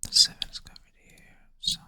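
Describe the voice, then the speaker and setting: ASMR whisperer, sleepy and self-deprecating, bright airy close-mic whisper. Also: brisk. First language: Swedish. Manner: soft whisper, thinking aloud, self-deprecating. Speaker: ASMR creator. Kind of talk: whispered ASMR puzzle play-through.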